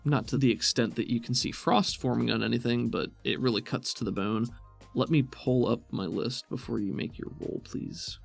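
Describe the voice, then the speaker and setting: A man's voice: mid-range voice, normal speed, creaky, soft background music. A man speaking as game master, explaining rules and narrating in an easygoing, conversational way. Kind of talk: running a tabletop roleplaying game, explaining rules.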